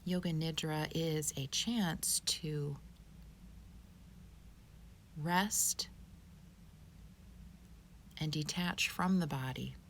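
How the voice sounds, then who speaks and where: A woman teaching yoga, giving guided meditation instruction in a calm, soothing, unhurried manner. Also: sits low, slight background noise.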